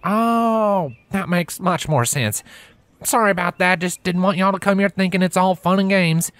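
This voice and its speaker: A man's voice: high voice, normal speed, a bit bright, smooth, no echo, voice pushed up. A man voicing a cheerful, folksy country pony mare with a Southern country drawl. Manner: folksy and drawling, chuckling at her own jokes.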